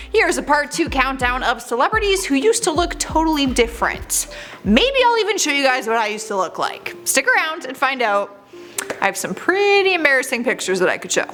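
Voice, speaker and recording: Chatty young woman hosting a countdown. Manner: chatty, candid asides, lively swoops in pitch. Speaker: host. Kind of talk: scripted countdown commentary.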